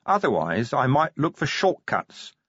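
A man voicing a short-tempered police commander in a storybook, gruff, blunt and sarcastic. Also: mid-range voice, big pitch swings, measured pace, fairly bright tone, dry recording, muffled recording, voice pushed up, heavily acted. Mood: gruff, impatient.

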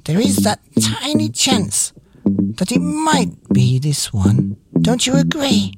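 A man voicing an excitable, sly old grandfather in a children's storybook, with a hushed, conspiratorial manner. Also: on the high side, slow pace, slightly rough, pitched above the performer's own voice, exaggerated voice. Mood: nervous, giggly. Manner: conspiratorial, whispering and spluttering with excitement. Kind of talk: children's storybook character reading. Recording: music behind the voice.

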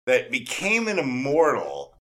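A man co-hosting a podcast interview, chatting casually in an excitable, blunt, slangy way and often repeating himself as he goes. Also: medium pitch, unhurried. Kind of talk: casual enthusiastic chat.

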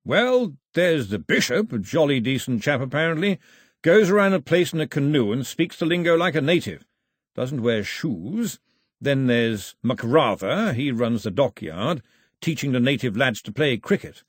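A man's voice: mid-range voice, animated, moderate pace, slightly rough, dry recording, clean studio sound, pitched above the performer's own voice. A man voicing a grieving upper-class father who speaks in a clipped, understated and firm way. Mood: breezy.

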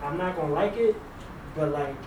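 Young man, blunt and halting, a little high-pitched, distant mic in a reverberant room. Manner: blunt, candid, halting with many fillers. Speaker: student in a counseling program. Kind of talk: casual group discussion. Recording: echoey room, distant mic, background noise.

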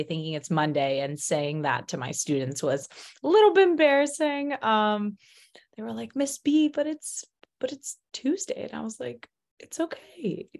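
Bubbly young woman, low voice. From Chicago, United States. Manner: bubbly, laughs often, uses many fillers. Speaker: podcast co-host. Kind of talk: casual podcast chat.

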